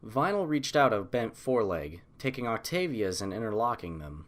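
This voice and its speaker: A man narrating a scripted fan story as an audiobook, in an expressive storytelling style. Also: on the low side, moderate pace.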